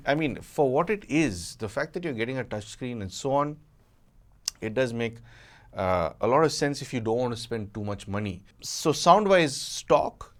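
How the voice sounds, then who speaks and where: Man, gadget reviewer, casual unscripted delivery, conversational, mid-pitched voice, colloquial asides.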